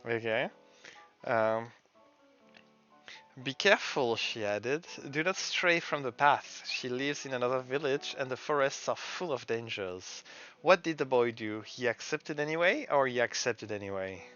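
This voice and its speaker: A man's voice: medium pitch, animated, measured pace, fairly bright tone, muffled recording, faint music behind. A man giving casual, hesitant gameplay commentary, thinking aloud and sometimes reading text out. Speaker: game streamer and the game's creator.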